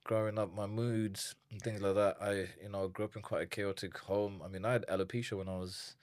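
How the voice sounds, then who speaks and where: Low-voiced man from Zimbabwe. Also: a little fast, no echo, clean studio sound. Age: 30s. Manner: animated, repeats words when thinking. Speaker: nutrition coach guest. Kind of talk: casual interview chat.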